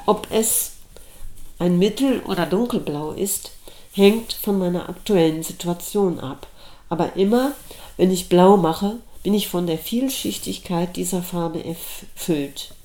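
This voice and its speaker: A middle-aged woman reading her own reflective personal essay aloud, in a slow, measured and thoughtful way. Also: lowish voice, mellow. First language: German. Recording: roomy echo.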